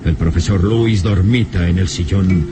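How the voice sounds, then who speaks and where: A man's voice: low voice, unhurried, over music. A man narrating an old horror radio serial in an ominous, emphatic, suspenseful style.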